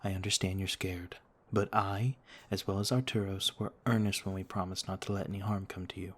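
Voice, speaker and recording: Male actor as courtly ancient vampire for ASMR roleplay: deep, restrained in pitch movement, gentle and reassuring. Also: steady tone, brisk, breathy edge. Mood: gently reassuring. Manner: courtly, formal, soothing, reassuring. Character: gentle noble male vampire, over a thousand years old, looks thirty-two.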